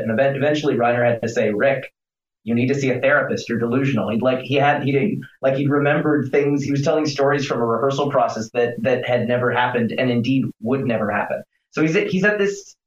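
Roomy, distant man chatting animatedly. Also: medium pitch. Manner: animated, rambling, many restarts and fillers. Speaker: stage actor, interview guest. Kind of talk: conversational interview answer. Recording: distant roomy muffled sound.

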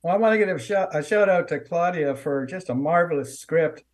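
Man actor, upbeat, higher voice. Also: expressive, a little fast. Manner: upbeat and appreciative. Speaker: stage actor.

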